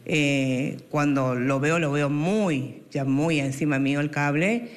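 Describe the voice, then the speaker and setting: A woman in her forties with an Argentine accent, recounting her accident haltingly at home. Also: sits low. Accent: Argentine Spanish. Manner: hesitant, with fillers. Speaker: accident victim interviewed. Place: indoors at home.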